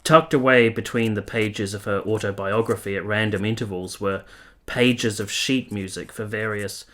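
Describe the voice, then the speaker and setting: Earnest period gentleman played by a male actor, radio play. Lowish male voice, measured and formal, a reflective, troubled lover. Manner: measured, formal literary phrasing. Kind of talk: radio drama dialogue and first-person narration.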